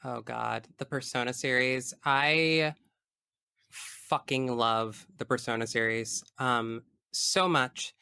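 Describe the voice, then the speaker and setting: A man with a crisp, forward voice talks as a podcast guest in casual conversation. His delivery is bright and frank with a geeky streak, and the sound is close and dry. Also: medium pitch, fairly even intonation, takes it slowly, no echo. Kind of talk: casual chat about video games.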